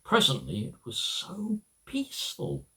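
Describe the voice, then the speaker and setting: A middle-aged man reading a children's story aloud, halting and emphatic, with small stumbles. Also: fairly high pitch, huge pitch swings, creaky, sharp tone, low-quality recording. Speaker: amateur storybook reader.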